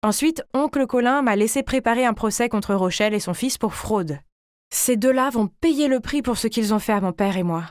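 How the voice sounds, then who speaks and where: A woman reading a scripted first-person story in a theatrical, expressive way, like a teenage girl telling her own drama. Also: a little high, normal speed, no room sound, studio quality.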